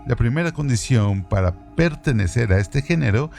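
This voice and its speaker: A man reading scripted literary radio narration in a formal, measured way. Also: mid-range voice, expressive, vocal fry, darkish tone, faint music behind.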